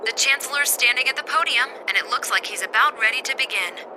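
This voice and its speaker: A woman's voice: high voice, fast talker, background noise, natural voice. An actress playing a poised female radio host announcing a live broadcast in a video game. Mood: poised, anticipating.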